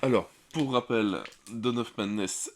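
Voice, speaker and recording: A man with a very deep voice talks his way through an unboxing, slow to find his words and full of fillers. A cold leaves his voice slightly congested. The recording carries clear background noise. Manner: relaxed, hesitant, many fillers. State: has a cold. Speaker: board game channel host. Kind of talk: casual unboxing commentary.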